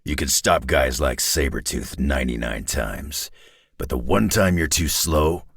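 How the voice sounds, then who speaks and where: A man voicing a gruff, cynical, battle-worn mutant brawler in a video game, with a gravelly, husky growl and a terse, dry tough-guy drawl. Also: very deep voice, lively intonation, unhurried, a bit bright, no room sound, heavily acted. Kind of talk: video game character acting.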